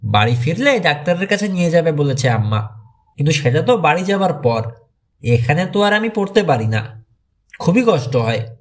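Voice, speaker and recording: Man reading as an earnest, animated teenage cave boy. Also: a little high, moderate pace. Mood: confiding.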